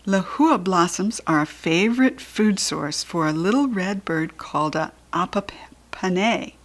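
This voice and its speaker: A woman with an American accent narrating a children's storybook expressively, with animated, dramatic emphasis. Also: fairly low pitch, unhurried. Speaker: storybook reader.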